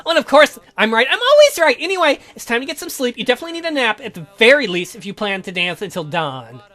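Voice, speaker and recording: A woman's voice: on the high side, big pitch swings, quick pace, a bit bright. A woman voicing a bossy, teasing young female best friend in a visual novel, theatrical and gushing. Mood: playful, smug. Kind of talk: visual novel read aloud in character.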